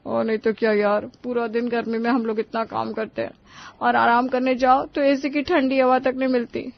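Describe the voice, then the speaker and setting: A woman's voice: a little high, little pitch movement, normal speed, vocal fry, lo-fi sound. A woman voicing a frustrated, outspoken young daughter-in-law who argues and complains in a Hindi cartoon story. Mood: weary, grumbling. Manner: complaining, argumentative.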